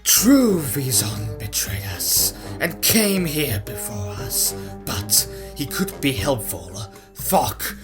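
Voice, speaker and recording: A man voicing a sinister, calculating villain in an animated fan dub, creaky and ominous. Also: mid-range voice. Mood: sly, ominous. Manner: drawn-out, ominous. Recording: music behind the voice.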